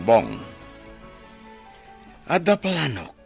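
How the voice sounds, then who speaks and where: An actor playing a brazen, scheming, spoiled young boss in an Ilocano drama, taunting and theatrical. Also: male voice, mid-range voice, wildly swooping pitch, drawn-out words, vocal fry, music in the background, full character voice. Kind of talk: Ilocano radio-style drama acting.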